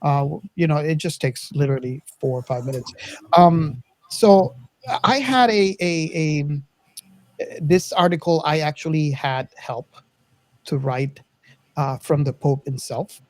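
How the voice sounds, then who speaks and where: A podcast host talking casually to listeners and co-hosts, haltingly and searching for words. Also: medium pitch, no room sound.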